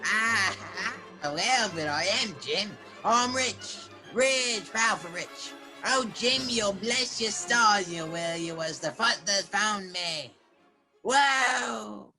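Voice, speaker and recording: A woman's voice: mid-range voice, expressive, takes it slowly, pushed and bright, soft background music, heavily acted. A woman playing a half-wild marooned castaway sailor in a radio play, eager and rambling, with rustic sailor dialect. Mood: excited, boastful. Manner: rambling, repeats words, exclaiming. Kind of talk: children's theater radio play acting.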